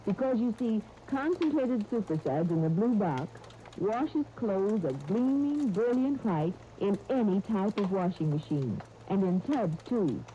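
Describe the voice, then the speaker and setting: A woman's voice: mid-range voice, expressive, measured pace, warm tone. A woman delivering a scripted 1930s radio sponsor message addressed to listeners. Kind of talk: 1930s radio advertising read. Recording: muffled, hissy 1930s radio disc.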